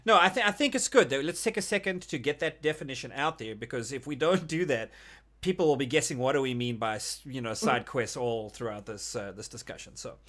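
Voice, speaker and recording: A man hosts a casual roundtable gaming talk. His voice sits at an ordinary male pitch, and he talks in a conversational way as he steers the discussion. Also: fairly quick, a bit bright. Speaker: host of a group discussion show.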